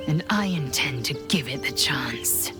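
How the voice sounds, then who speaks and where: A stern githyanki warrior from a fantasy game. Her voice is very low yet animated, breathy and hissing at the edges, with formal, commanding phrasing. Faint music runs underneath. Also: unhurried. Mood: quiet resolve. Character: stern, proud githyanki warrior woman, curt and guarded. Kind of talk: video game character acting.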